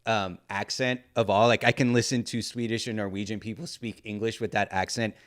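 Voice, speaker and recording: A man's voice: on the low side, steady tone, fairly quick. A man hosting a podcast in casual chat, rambling with lots of fillers and the odd swear word. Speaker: podcast host.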